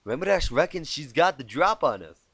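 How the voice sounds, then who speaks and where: A man's voice: a little high, very animated, normal speed, fairly bright tone, breathy edge, dry recording, heavily acted. A man voicing a feisty female cartoon pony with a drawling, exclamatory Southern US accent. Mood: grinning, sly.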